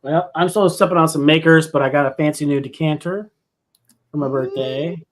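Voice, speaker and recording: A man's voice: on the high side, fairly even intonation, moderate pace. An easygoing male co-host chatting casually in short remarks. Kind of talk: casual chat.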